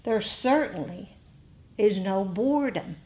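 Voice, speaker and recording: Slow-paced American woman teaching meditation. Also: mid-range voice, lively intonation, mellow. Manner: conversational, pausing often between short phrases. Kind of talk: informal dharma talk to a group.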